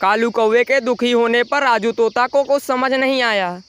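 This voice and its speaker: A man's voice: extremely high pitch, moderate pace, a bit bright, a little hiss or hum. A man narrating a scripted children's moral story as storyteller.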